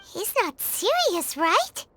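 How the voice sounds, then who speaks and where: A woman voicing a tiny, cheerful, curious fairy companion in a video game, with a squeaky childlike voice. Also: big pitch swings, slow pace, background noise, full character voice. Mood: unsure.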